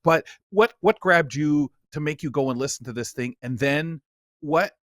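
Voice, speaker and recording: A man's voice: fairly high pitch, normal speed, fairly bright tone, dry recording, studio quality. A man hosting a talk show, chatting casually and rambling his way into questions. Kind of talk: casual radio chat.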